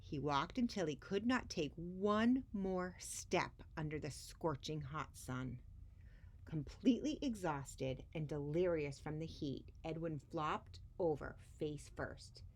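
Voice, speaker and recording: A woman reading a picture book aloud to children expressively, with dramatic pauses and emphasis on key words. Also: sits low, lively intonation. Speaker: children's story reader.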